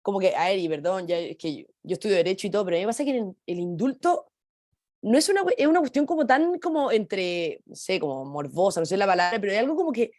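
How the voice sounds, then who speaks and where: Young woman, law student, talks fast n jumps all over in pitch, dry mic, lots of filler. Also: lively intonation, a little mellow, no room sound. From Chile. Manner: fast, hesitant, searching for words. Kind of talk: radio panel discussion.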